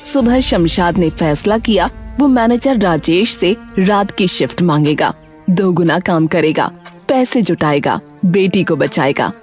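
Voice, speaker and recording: Low-pitched woman narrating a dramatic story. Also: expressive, measured pace, darkish tone. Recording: music behind the voice.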